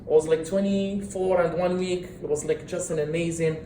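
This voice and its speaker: A man in his thirties gives an earnest prepared speech, often tripping and restarting on words. His voice sits high. The sound is roomy and echoing, with a faint hum of the meeting room behind it. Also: fairly even intonation, moderate pace, dark tone. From Morocco. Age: 30s. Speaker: club speaker. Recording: echoey room.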